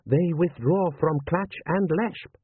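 A man's voice: on the high side, takes it slowly, breathy edge, no echo, voice pushed up. A man voicing a deferential army general in an audiobook, formal, careful and insistent. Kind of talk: audiobook character voice. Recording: narrow-band, phone-like sound.

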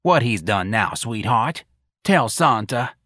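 A man's voice: medium pitch, big pitch swings, takes it slowly, bright, forceful tone, no echo, studio quality, voice pushed up, full character voice. A man voicing a brash, blunt old grandmother in an audiobook. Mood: nosy, blunt. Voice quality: froggy, croaking. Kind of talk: audiobook character dialogue.

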